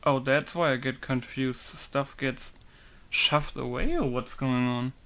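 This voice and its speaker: A man's voice: medium pitch, slow pace. A young man, a game modder chatting casually while testing things on screen. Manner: relaxed, chatty, thinking aloud while testing. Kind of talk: casual screen-recorded commentary.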